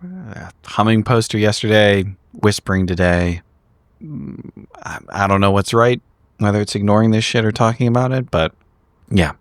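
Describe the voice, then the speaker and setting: A man speaks in character at a tabletop game, subdued and hesitant. The voice is fairly low, close and dry, with a natural conversational delivery. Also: moderate pace, no echo.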